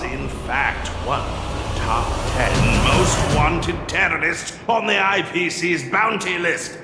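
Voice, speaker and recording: An actor playing a droll, fussy older detective's assistant with a gravelly, deliberate voice in a video game. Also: male voice, medium pitch, reverberant, long reverb tail. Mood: dramatic narration. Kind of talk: video game voice acting and narration.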